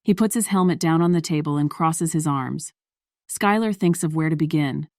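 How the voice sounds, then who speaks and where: Female narrator, deep register, scripted fiction read, dry close recording. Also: moderate pace, a little mellow, no room sound.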